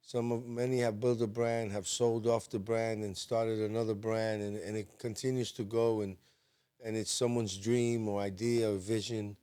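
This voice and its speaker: A man's voice: fairly low pitch, moderate pace, clear, resonant tone. A man co-hosting a podcast in a studio, talking casually and getting emphatic when he makes a point. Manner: emphatic, gets animated when making a point. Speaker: podcast co-host.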